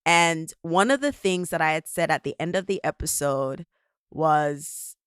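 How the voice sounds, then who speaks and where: A woman hosts a podcast in a chatty way, thinking aloud as she goes. Her voice is low-pitched, and the recording is dry and close. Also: measured pace, clean tone, dry recording. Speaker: podcast host. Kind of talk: podcast interview.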